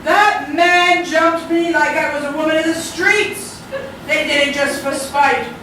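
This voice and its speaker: A woman's voice: high voice, steady tone, a little slow, pushed and bright. A woman playing a hysterical, prim and indignant society mother in a live radio-play comedy, melodramatic and wailing. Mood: scandalized. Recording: echoey hall, far from mic, noisy.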